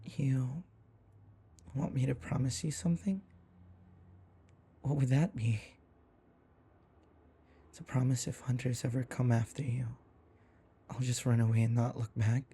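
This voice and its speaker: Man playing a hesitant, gravelly-voiced submissive manservant. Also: mid-range voice, steady tone, unhurried, pauses often, warm tone, slight background noise, lightly acted. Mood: shy, flustered. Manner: hesitant, stammering, deferential. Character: nervous, devoted, submissive young human manservant to a vampire mistress. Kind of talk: audio roleplay acting.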